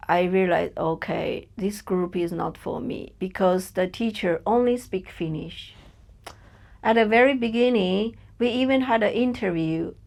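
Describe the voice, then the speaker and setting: Slow, hesitant woman with a Chinese accent. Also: medium pitch, gravelly. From China. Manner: hesitant, searching for words, many fillers. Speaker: podcast guest. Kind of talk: personal interview.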